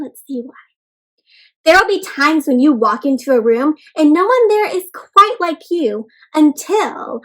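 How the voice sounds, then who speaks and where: A woman with a high voice reads a picture book aloud to young children. She is expressive and encouraging, pausing to put questions to her small listeners and talk directly to them. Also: low-quality recording. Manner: addresses listeners as friends. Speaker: children's read-aloud host. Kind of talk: interactive storybook read-aloud for young children.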